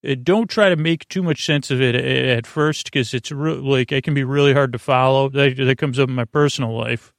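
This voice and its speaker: Creaky guy doing a sleepy bedtime podcast, lots of stammering and goofy rambling, very dry sound. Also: mid-range voice, no echo. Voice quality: creaky, drawling. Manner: halting, stammering, digressive, with goofy tangents; lulling, soothing. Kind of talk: rambling, meandering bedtime monologue.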